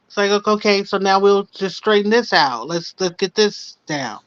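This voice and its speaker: A woman's voice: mid-range voice, lively intonation, normal speed, dry recording. A woman chatting casually as a livestream panelist, conversational, working through her opinions and acting out what people might think. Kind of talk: casual chat. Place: remote livestream call, dry sound. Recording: muffled lo-fi livestream audio.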